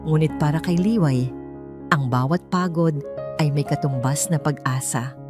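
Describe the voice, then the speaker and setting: A woman's voice: low voice, moderate pace, music in the background. A woman reading a scripted emotional story as a dramatic narrator.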